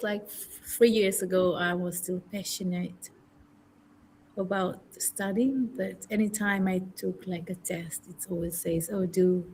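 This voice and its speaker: A woman's voice: mid-range voice, a bit bright, slight background noise, poor audio. A young woman, a trainee teacher chatting in English over a video call, thoughtful and hesitant, often correcting herself. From Indonesia. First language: Indonesian. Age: young adult. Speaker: university student, trainee teacher. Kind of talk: casual conversation practice.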